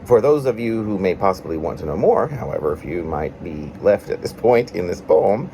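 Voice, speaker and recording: A man reading his own confessional, prose-like poem aloud in a reflective, halting way with formal wording. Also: low voice, expressive, creaky, warm tone, slight background noise. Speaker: poet reading own work.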